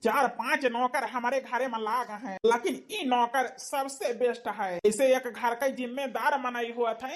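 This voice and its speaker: A woman's voice: on the high side, fairly even intonation, unhurried, few pauses, natural voice. A woman voicing a fond, fussing elderly village father-in-law with a folksy Awadhi accent in a cartoon comedy. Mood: fond, proud. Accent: Awadhi, eastern Uttar Pradesh.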